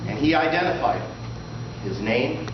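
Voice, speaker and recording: Male comic storyteller, slightly high voice, theatrical punchline timing. Muffled, noisy live room recording from far off. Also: expressive. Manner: theatrical, deliberate pauses for punchlines. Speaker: storyteller in a tall-tale contest. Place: indoor room before a live audience, recorded from afar. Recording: roomy, distant, muffled live recording with loud noise.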